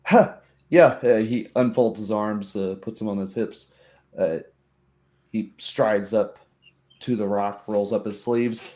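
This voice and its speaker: A man narrating a tabletop roleplay as game master, deliberate and descriptive, pausing between phrases. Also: lowish voice, level tone, mellow.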